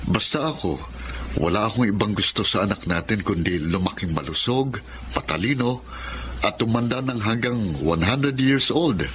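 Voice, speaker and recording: A gentle father-to-be in a radio drama. His low voice swings expressively with affection and playfulness, with faint noise in the background. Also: lively intonation, normal speed. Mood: tender, hopeful. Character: doting, playful young expectant father. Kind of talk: Filipino radio drama acting.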